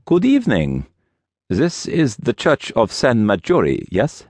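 A man's voice: medium pitch, sing-song, normal speed, dry recording, studio quality, pitched above the performer's own voice, natural voice. A man voicing a polite, anxious old village priest in an audiobook thriller, courteous and apologetic.

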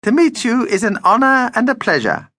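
A man's voice: on the high side, very animated, normal speed, pushed and bright, dry recording, clean studio sound, pitched above the performer's own voice, heavily acted. A man voicing a small, grumpy male vampire in a storybook, theatrical and self-pitying, with pompous complaining speech. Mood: courtly, flattered.

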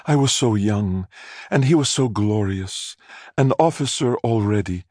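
A man's voice: lowish voice, lively intonation, measured pace, a little mellow, no echo, studio quality, pitched above the performer's own voice. A man voicing a melodramatic, self-pitying mother in audiobook dialogue, with a theatrical, plaintive delivery. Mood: tearful, wounded.

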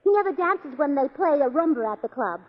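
An actress playing a flustered, scatterbrained wife in a 1940s radio play, stammering and fluttery. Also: female voice, high voice, lively intonation, brisk. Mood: sweet. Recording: dull 1940s radio broadcast.

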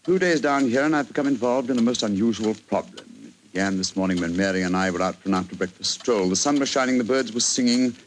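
A man's voice: on the low side, fast talker, hardly any pauses, voice pushed down, close to an everyday voice. An actor playing an older English doctor reading out his letter in 1940s radio drama style. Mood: earnest. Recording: distant, hissy old radio sound.

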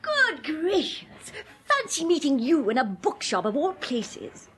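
A woman's voice: high voice, huge pitch swings, slow pace, fairly bright tone, exaggerated voice. An actress playing an assured, gushing young upper-class English woman, exclamatory, in a radio comedy. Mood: surprised, gushing.

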